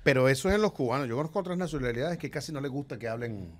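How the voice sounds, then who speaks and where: A man in his thirties hosting a casual podcast chat, who teases his guest and mimics accents while telling stories. He talks into a desk mic in a dark studio. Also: mid-range voice, animated, very fast. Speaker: podcast host. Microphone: desk mic on stand.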